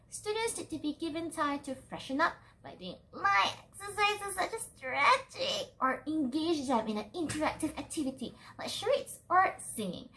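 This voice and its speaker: A young woman with a Malaysian accent presents from a script. Her voice sits high and moves up and down a lot. She reads formally and with care. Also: bright, forceful tone, rough recording. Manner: formal, carefully read. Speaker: student presenter.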